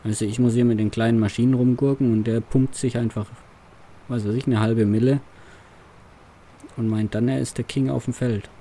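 A man's voice: mid-range voice, steady tone, normal speed, warm tone, slight background noise. A man, roleplaying a farmer and muttering grumbling commentary to himself. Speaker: farmer roleplayer, let's player.

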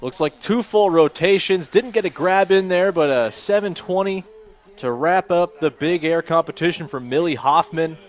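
A man calls a freestyle ski event live, his voice sitting a bit high for a man. He talks in a steady, informative way, reading out scores and naming the tricks as they land. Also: normal speed. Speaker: play-by-play commentator. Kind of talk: live sports commentary.

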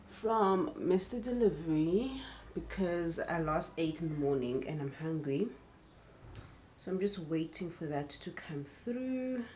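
Mellow South African woman in an echoey room. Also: fairly low pitch. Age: young adult. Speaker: lifestyle vlogger. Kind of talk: casual chat to camera. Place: indoors at home, kitchen and bedroom. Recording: roomy echo, clear background noise.

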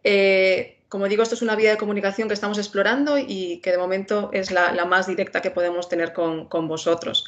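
A woman's voice: medium pitch, steady tone, a little fast, hardly any pauses, muffled recording. A woman with a Spain Spanish accent moderating an online webinar in a friendly manner, with many filler sounds. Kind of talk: webinar introduction.

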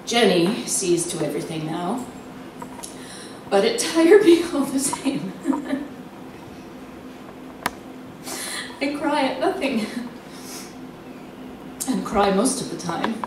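An actress performing a theatrical monologue as a lonely, nervous, confined wife slipping into obsessive madness, halting and dramatic with drawn-out words. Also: female voice, a little high, big pitch swings. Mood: weary, then fragile, tearful. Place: live performance in a cafe venue. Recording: very echoey room, distant mic, noisy.

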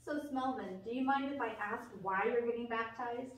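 A woman's voice: on the high side, normal speed, close to an everyday voice. A woman voicing a sensible, earnest, plain-spoken young puppet character in a children's church show. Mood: skeptical. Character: sensible, dry, know-it-all young puppet friend. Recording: echoey, noisy room, distant mic.

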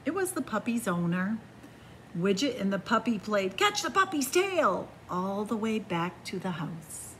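A woman reading a picture book aloud to children in a library, with slow, expressive storytelling, hushed whispers and excited rises. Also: medium pitch, slight background noise. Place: library in front of bookshelves. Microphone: camera facing the book.

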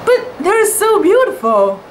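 A high, pleading girl cat from a children's storybook, played by a man. She is an earnest big sister, envious yet affectionate, with a lively swing in pitch. There is clear background noise. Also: a little slow, full character voice, poor audio.

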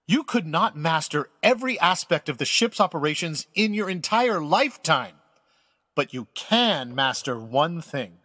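An actor playing a wise, secretive old space admiral in an audio drama, speaking as a measured, formal, earnest mentor. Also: male voice, on the high side, very animated, pushed and bright, no echo, clean studio sound, heavily acted.

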